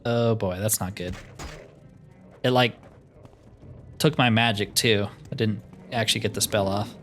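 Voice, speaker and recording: A man's voice: lowish voice, steady tone, unhurried, a bit bright, faint music behind. A man giving casual, wry commentary as he plays through a video game, often with dry humor. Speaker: gaming video host.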